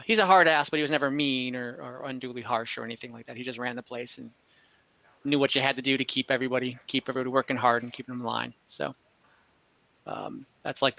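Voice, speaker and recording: A man's voice: mid-range voice, vocal fry, bright, forceful tone, dry recording. A man narrating as game master, describing a scene casually with many fillers and self-corrections. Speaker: dungeon master. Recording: slightly dull lo-fi online audio.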